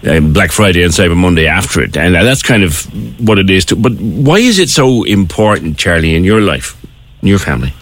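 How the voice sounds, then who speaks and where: A man's voice: sits low, big pitch swings, brisk. A man hosting a casual radio interview, asking chatty, friendly questions. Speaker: radio host.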